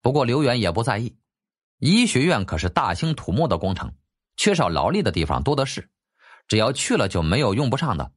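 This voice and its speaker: Husky, animated male audiobook narrator. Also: mid-range voice, a little fast. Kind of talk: dramatic scripted storytelling.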